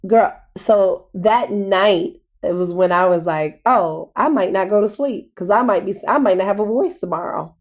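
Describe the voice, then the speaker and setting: A woman with a naturally raspy voice, made extra hoarse by a cold, hosts a casual podcast. Her pitch sits in an ordinary middle range. She tells stories with lively "girl" asides. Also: moderate pace, hardly any pauses. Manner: animated, storytelling with "girl" asides. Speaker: podcast host. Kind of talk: casual chat.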